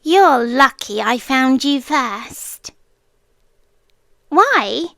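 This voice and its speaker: A British woman voicing a girlish, sweetly menacing and possessive deer spirit in an ASMR roleplay, coy and teasing, slipping between soft speech and whispers close to the mic. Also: sits high, lively intonation, very slow, dry recording, heavily acted. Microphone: close microphone.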